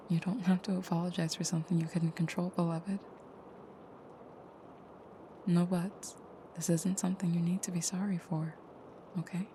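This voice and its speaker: A woman playing an intimate vampire girlfriend in an audio roleplay, speaking softly and often dropping into a whisper. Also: fairly low pitch, a little hiss or hum. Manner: soft, breathy murmuring, often whispered, soothing. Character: female vampire girlfriend, protective and tender, menacing in nightmare.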